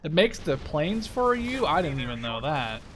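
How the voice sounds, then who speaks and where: A man's voice: on the high side, very animated, moderate pace, a bit bright. A man in his twenties giving casual, rambling, enthusiastic gameplay commentary with a lot of swearing. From Canada-based Dutch. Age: 20s. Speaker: gaming video host. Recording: clear background game noise. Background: war strategy game sounds.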